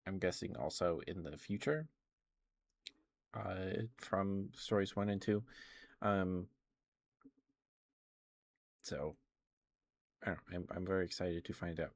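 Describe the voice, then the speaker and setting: A man doing casual ASMR livestream chat into a close microphone, musing in a hesitant, unhurried way. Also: sits low, creaky, no room sound.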